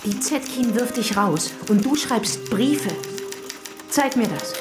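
A woman's voice: a little high, lively intonation, slow. An actress playing a worried, insistent woman in a German radio play, urgent and probing. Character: worried, insistent woman pressing a troubled companion. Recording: music under the voice.

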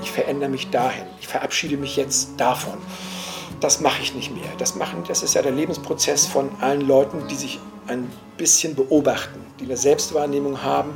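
A man giving an interview, reflecting slowly and searching for his words. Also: on the high side. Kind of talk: reflective spoken commentary.

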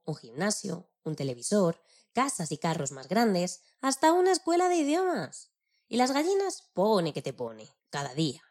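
A woman narrating a children's story in an expressive storytelling style. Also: medium pitch.

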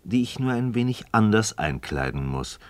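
An actor playing an inquisitive, skeptical but courteous friend in a radio drama, speaking in a deliberate, questioning way. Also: male voice, on the low side, big pitch swings, dry recording. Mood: curious. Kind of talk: scripted philosophical dialogue in German radio drama.

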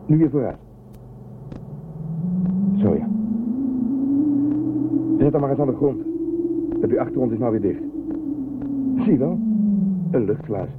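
A man's voice: medium pitch, close to an everyday voice. Man playing a decisive space commander, noisy lo-fi. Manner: brisk, authoritative. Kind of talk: science fiction audio drama acting. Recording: narrow, noisy lo-fi radio drama sound.

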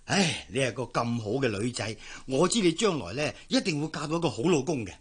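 A man's voice: medium pitch, sing-song, fairly quick, slightly rough. An actor playing a kindly, fatherly older man in a Cantonese radio drama, with a Hong Kong accent and a sighing, concerned way of talking. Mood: fond, reassuring.

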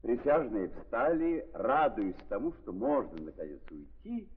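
An actor as a wry literary narrator, reading with deliberate, expressive phrasing in a 1930s theatrical style. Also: male voice, mid-range voice, slightly rough. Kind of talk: scripted dramatic narration, 1930s stage reading. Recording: muffled, tinny 1930s recording, long reverb.

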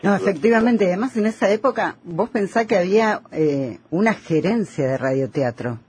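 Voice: mid-range voice, expressive, normal speed, darkish tone. A radio co-host with a Rioplatense Argentine accent, explaining things conversationally.

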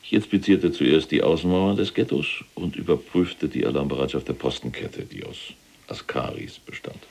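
An actor playing a proud, callous, imprisoned SS general recounting the war in a gravelly, husky, self-important military manner in a radio drama. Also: male voice, extremely low pitch, lively intonation, moderate pace, a little mellow, heavily acted. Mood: cold, boastful. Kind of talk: dramatized first-person war recollection.

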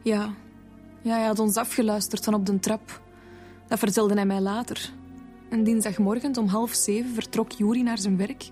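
A woman's voice: medium pitch, flat delivery, moderate pace, warm tone, lightly acted. An actress playing a distraught, guilt-ridden Flemish mother in a radio drama, intense and emotional. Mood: confessing. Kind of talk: naturalistic radio drama acting. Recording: music behind the voice.

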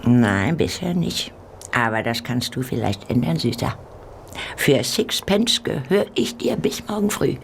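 An actress playing a coarse, cheeky Victorian street prostitute in a radio play, rough in her talk and given to muttering. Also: rumbling low, very animated, unhurried, a little hiss or hum, exaggerated voice. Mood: flirtatious, brash.

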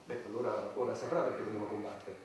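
A man's voice: fairly low pitch, fast talker, a little mellow, natural voice. An actor playing a pompous, impatient establishment man preaching war in a satirical radio play. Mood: condescending. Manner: pompous, oratorical, exhorting. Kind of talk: satirical radio theatre acting. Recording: echoey hall from far off, audience noise.

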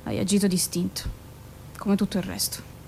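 A woman playing a composed, guarded female doctor in an Italian radio drama, probing in terse, measured phrases. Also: lowish voice, very animated, creaky, dark tone, slight background noise, low-quality recording.

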